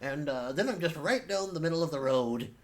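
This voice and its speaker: A man plays an earnest, over-medicated male performer in a satirical monologue. He talks haltingly and with mock sincerity, carefully self-satisfied. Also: a little high, expressive, pitched above the performer's own voice, natural voice. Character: earnest male performer proudly reciting his many medications.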